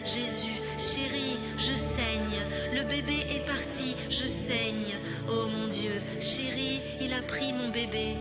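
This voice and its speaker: A woman voicing a young wife in film drama, panicked and begging, her very high voice cracking into sobs. She drags out her pleas slowly. A loud music score plays underneath. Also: big pitch swings, exaggerated voice. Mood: shaken, weak. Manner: pleading, sobbing cries. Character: young wife frantic over her lost baby. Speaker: distraught wife.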